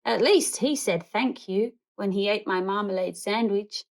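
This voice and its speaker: A woman's voice: mid-range voice, unhurried, close to an everyday voice. A woman voicing a polite, innocent young male bear from a storybook, earnest and childlike. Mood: pleased.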